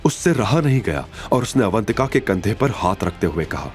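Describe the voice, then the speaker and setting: A man's voice: on the low side, big pitch swings, normal speed, faint music behind. A man delivering scripted, dramatic narration for an audio story series.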